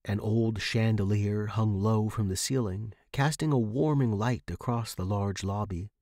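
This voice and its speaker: A man's voice: on the low side, unhurried, a little mellow, dry recording, clean studio sound. A man reading a story aloud as a scripted, dramatic fiction narration. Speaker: audiobook narrator.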